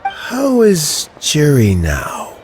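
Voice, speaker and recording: A man playing a weary, principled elderly statesman in a video game, with a gravelly, measured and reflective delivery. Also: fairly high pitch, wildly swooping pitch, slight background noise, exaggerated voice. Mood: concerned, inquiring.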